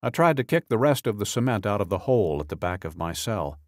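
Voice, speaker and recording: A man reading a true-crime audiobook as scripted narration, in a measured, deliberate, matter-of-fact way. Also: on the low side, big pitch swings, darkish tone, no echo, clean studio sound.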